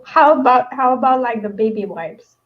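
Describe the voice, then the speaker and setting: A woman's voice: on the high side, lively intonation, normal speed, highs cut off. A woman hosting a casual book stream, chatting in a rambling, giggly way. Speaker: host of a book read-along stream.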